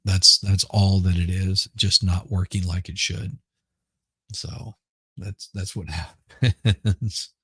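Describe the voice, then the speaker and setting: A hoarse middle-aged man rambles through casual chat as a podcast host, his deep voice gravelly and husky. He talks slowly, restarting and repeating words as he goes. The sound is dry, with no room around it. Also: fairly even intonation, pushed and bright, dry recording. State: hoarse from smoke.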